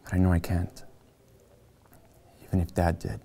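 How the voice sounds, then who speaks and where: A man's voice: deep voice, monotone, moderate pace, mellow. An actor playing an insecure, self-deprecating middle-aged man at a table read of a screenplay. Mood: confessional. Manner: earnest, hesitant, self-mocking.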